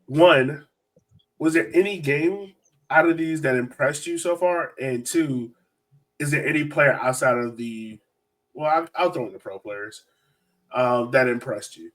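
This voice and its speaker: A man's voice: mid-range voice, a little slow, fairly bright tone. A man hosting a casual sports chat on a podcast. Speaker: podcast host. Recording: voice distant from the mic.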